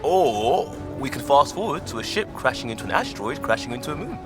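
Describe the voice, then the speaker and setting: A man's voice: mid-range voice, sing-song, fairly quick, close to an everyday voice. A man playing an easygoing, joking young spaceship crewmate in casual, wry video game dialogue. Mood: teasing. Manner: wry, informal. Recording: reverberant, faint music underneath.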